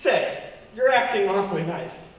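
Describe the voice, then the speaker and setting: A man's voice: high-pitched, big pitch swings, a little slow. A man acting a harried, sardonic fugitive wrongly accused of murder in a radio play, sounding exasperated. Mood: questioning. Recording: echoey hall, distant mic, noisy.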